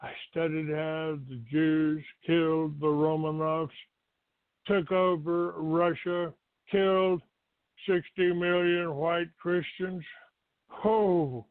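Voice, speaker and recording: An older American man from Texas hosting a talk show, giving a rambling, halting monologue to his listeners. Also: fairly high pitch, level tone. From Texas, United States. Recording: roomy, tinny phone-like line.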